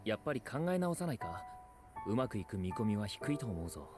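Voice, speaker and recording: A man's voice: lowish voice, big pitch swings, rapid-fire. A man voicing a dry, caring teenage schoolboy in an anime, speaking informally and matter-of-factly. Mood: concerned. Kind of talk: anime voice acting. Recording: music behind the dialogue.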